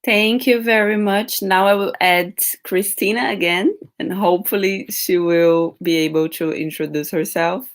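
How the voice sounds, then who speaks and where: A woman hosting an online panel, introducing guests and asking questions haltingly, often restarting her sentences. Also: medium pitch, steady tone. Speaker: host, moderator. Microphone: video call.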